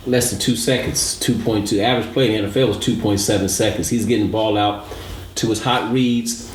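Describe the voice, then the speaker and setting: A man giving emphatic, analytical sports commentary as a show co-host, repeating points for emphasis. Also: lowish voice. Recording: roomy echo, audible background noise.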